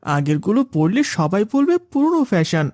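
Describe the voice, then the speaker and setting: A woman voicing an earnest, eager young boy in an animated moral story. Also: lowish voice, brisk, dry recording, clean studio sound. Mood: eager, demanding. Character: young boys: spoiled eager son and gentle poor elder brother.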